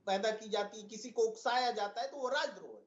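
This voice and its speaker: A man's voice: high voice, a little fast. A man arguing as a debate panelist, insistent and repeating key phrases. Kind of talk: TV panel argument. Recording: echoey room, muffled remote-link audio.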